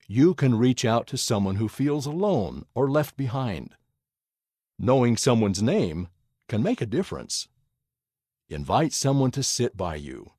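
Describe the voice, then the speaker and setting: Man with a lively, gentle reading voice. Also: medium pitch, dry recording, studio quality. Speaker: reader of a church magazine. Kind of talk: scripted narration.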